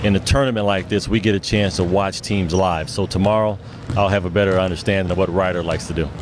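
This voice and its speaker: A man's voice: low-pitched, fairly even intonation, fast, background noise. A man, a basketball head coach, giving casual answers in a sports interview.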